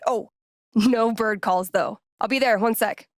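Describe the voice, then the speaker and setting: A woman's voice: fairly high pitch, a little slow, no echo, studio quality. An actress playing a laid-back, geeky young American woman in a video game, speaking in a casual, wry, playful way. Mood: friendly, upbeat. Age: young adult. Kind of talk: naturalistic video game character dialogue.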